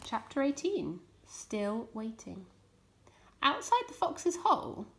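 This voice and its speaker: A woman's voice: fairly high pitch, a little mellow. A woman narrating a children's story as a storytime reader.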